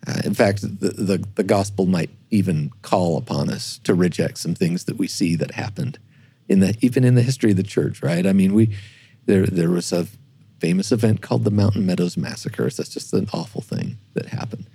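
A man in a reflective unscripted discussion as a church historian, speaking haltingly and repeating words as he thinks aloud. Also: low-pitched, mellow, husky, no room sound. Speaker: church historian, podcast guest.

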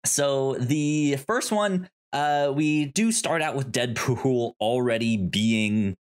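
A man hosting a podcast, casually recapping movies in a halting, stammering way with repeated word starts. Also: mid-range voice, clean tone. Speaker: podcast host.

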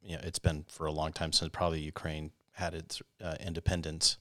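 Male host, low and dry, curious interviewer. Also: level tone, a little fast, a bit bright, no room sound. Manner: curious, short prompting questions. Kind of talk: casual interview.

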